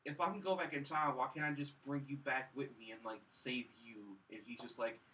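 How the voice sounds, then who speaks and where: A man with a bright, medium-pitched voice chats casually on a review show. He rambles and sounds dismissive. He is picked up from a little way off the microphone. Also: fairly even intonation, a little fast, a little hiss or hum. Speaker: co-host of a film review show. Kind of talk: casual chat, recapping and mocking. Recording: distant from the mic.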